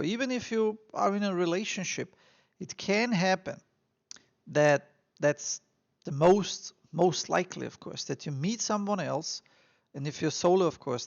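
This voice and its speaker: A man with an Austrian accent talks straight to his viewers, earnest and encouraging, circling back to his points with plenty of fillers. His voice sits a little high and has a gravelly, fry-tinged edge. The recording sounds dull and lo-fi. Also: moderate pace. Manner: earnest and encouraging, repeats himself, frequent fillers. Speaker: immigration vlogger. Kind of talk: advice monologue to camera.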